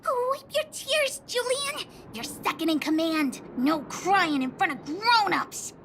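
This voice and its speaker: A woman's voice: extremely high pitch, lively intonation, slow pace, a little hiss or hum, heavily acted. A woman playing a boastful, bossy little girl leading a kids' gang in a video game, with brash, childish, dramatic delivery. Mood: defiant, boastful. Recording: loud music under the voice.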